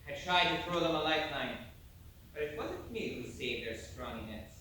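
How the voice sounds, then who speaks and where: A man acting as an emigrant storyteller, narrating a stage play in a measured, folksy way. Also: fairly high pitch, natural voice. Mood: grave storytelling. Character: male Irish emigrant storyteller recalling a perilous voyage. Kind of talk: dramatic scripted stage narration. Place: theatre stage, recorded from a distance. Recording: very echoey hall, distant mic, faint noise.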